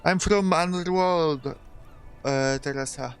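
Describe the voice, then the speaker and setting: Creaky-voiced male game streamer. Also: fairly high pitch, slow, faint music behind. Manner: casual, reads lines aloud, chats to viewers. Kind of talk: live game stream, reading dialogue aloud.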